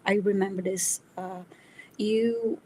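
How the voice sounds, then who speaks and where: A woman's voice: mid-range voice, steady tone, slow pace, darkish tone, a little hiss or hum, low-quality recording. A Brazilian woman, a native Portuguese speaker, co-hosting a live reading, hesitant with many fillers and half-whispered asides. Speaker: co-host. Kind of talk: live-stream chat reading out comments.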